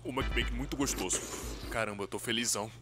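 A man with a Brazilian accent chatting casually and a little hesitantly, in a low-key, rambling vlog style. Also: fast talker, fairly bright tone, a little hiss or hum. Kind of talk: casual, rambling chat, dubbed over vlog footage.

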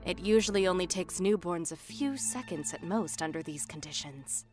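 A woman playing a stern, composed firebending instructor in an animated fan drama, speaking in a measured, authoritative way. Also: lowish voice, soft background music, natural voice. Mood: patient, stern. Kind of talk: fan visual novel voice acting.

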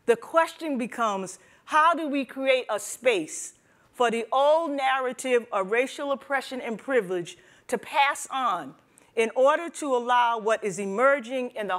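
An older woman with a high-pitched voice delivers a prepared panel address. She is deliberate and solemn, weighing each phrase as a religious sister speaking in public. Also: fairly bright tone. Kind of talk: prepared speech. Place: wood-panelled library room at a panel table. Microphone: lapel.

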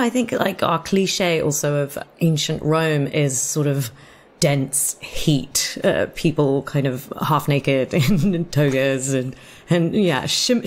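A woman with a distinctly low-pitched voice talks in a casual, reflective way. She sounds conversational, as in an informal discussion. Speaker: audio drama director.

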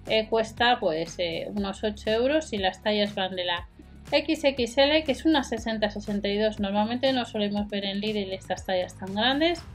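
A woman hosting a shopping video, chatting to viewers over screen captures as she lists prices and sizes. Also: medium pitch. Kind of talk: narrated product walkthrough. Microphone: voice-over with a desk microphone.

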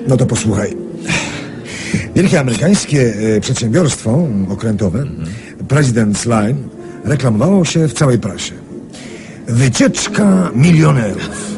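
A jovial, boastful man of new money holds forth in a radio play. His mid-pitched voice is hearty and expansive, with music clearly audible behind him. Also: big pitch swings, a little slow, long pauses. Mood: smooth, charming. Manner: hearty, chuckling, hesitant fillers, expansive. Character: jovial boastful Polish emigrant man, self-made rich swindler. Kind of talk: 1980s Polish radio drama acting.

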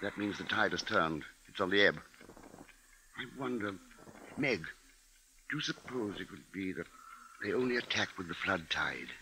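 Grave, low-voiced husband in radio drama. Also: male voice, expressive, sharp tone, exaggerated voice. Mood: grave, brooding. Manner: measured, dramatic, literary narration. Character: grave, resolute husband and father narrating a bird siege. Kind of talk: 1950s radio drama acting and narration. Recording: noisy 1950s radio broadcast recording.

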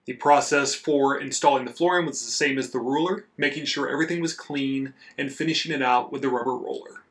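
A man's voice: medium pitch, fairly even intonation, a little fast, fairly bright tone. A man narrating a scripted how-to voice-over as the host of a DIY outdoors channel.

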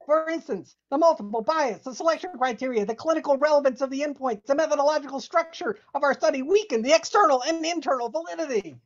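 A woman reading a research paper aloud as a dramatic reader, halting and sometimes losing her place. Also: sits high. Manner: halting, loses place, repeats phrases. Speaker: dramatic reader at a comedy science session. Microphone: video call. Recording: dull, lo-fi video call audio.

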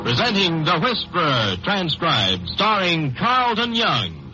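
Casting: male 1950s radio announcer, slow dramatic delivery over loud music. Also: on the high side, sing-song. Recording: muffled old radio, loud music behind.

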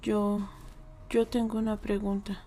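A woman's voice: mid-range voice, flat delivery, a little slow, mellow, slight background noise, natural voice. A woman with a Latin American accent voicing a vengeful, brooding young cartoon pig in a fan dub, hesitant and solemn. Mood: somber, reflective. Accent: Latin American Spanish.

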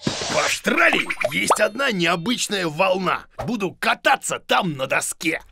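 A man's voice: high-pitched, very animated, measured pace, creaky, over loud music, heavily acted. A man voicing a bumbling, boastful bearded creature in a children's cartoon, theatrical and full of exclamations. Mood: boastful, excited. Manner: exclamatory, theatrical, muttering to himself. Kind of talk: children's educational cartoon acting.

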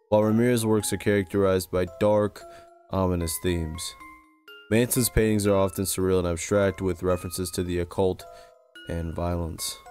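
A man reading scripted narration for a video essay in a measured, serious way. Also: deep voice, darkish tone. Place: close-recorded voice-over with music behind.

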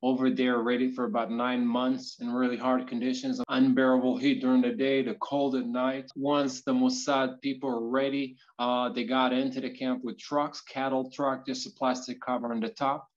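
Even-toned middle-aged man. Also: mid-range voice, moderate pace, few pauses. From born in Ethiopia, moved to Israel. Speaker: guest speaker. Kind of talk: personal life story narration. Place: indoors, on video call. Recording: slightly dull video call audio.

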